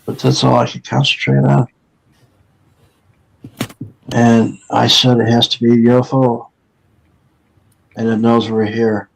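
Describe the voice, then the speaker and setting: A man with a deep, gravelly voice, recalling a memory haltingly while under hypnotic regression. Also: flat delivery, low-quality recording. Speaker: regression subject.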